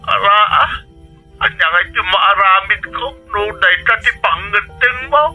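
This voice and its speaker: A man's voice: high voice, measured pace, sharp tone, faint music behind, exaggerated voice, rough recording. An actor playing an anxious, plaintive middle-aged Filipino father with an Ilocano accent in a radio drama. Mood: worried, pleading. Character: anxious, aging Filipino father pleading with his daughter.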